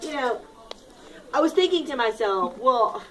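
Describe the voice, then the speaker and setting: A woman teacher reading a picture book aloud to her class in an expressive, dramatic manner, leaning on key words and prompting children to repeat. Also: sits high, measured pace, slight background noise. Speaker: primary school teacher.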